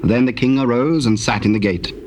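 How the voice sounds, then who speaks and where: A man narrating dramatized scripture in a solemn, measured and formal way. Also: medium pitch, darkish tone. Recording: noisy old cassette tape.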